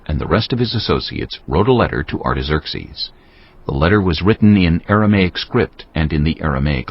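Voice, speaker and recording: A man narrates scripture in a solemn, measured storytelling style. His voice is very deep and gravelly, with expressive rises and falls. The recording is a touch dull, with faint noise. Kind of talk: scripted dramatized Bible narration.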